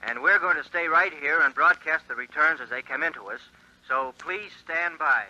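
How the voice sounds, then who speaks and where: A bright-voiced old radio announcer. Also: male voice, on the high side. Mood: announcing. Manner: formal, measured announcing. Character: male 1920 radio election announcer, earnest. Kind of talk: 1940s radio acting. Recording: dull lo-fi 1940s radio recording.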